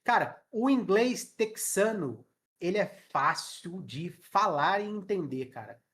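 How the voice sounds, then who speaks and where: A man's voice: high-pitched, expressive, slow, a bit bright. A Brazilian man teaching English in a casual live online class, chatty and joking with slang, speaking into a headset. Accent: Brazilian Portuguese.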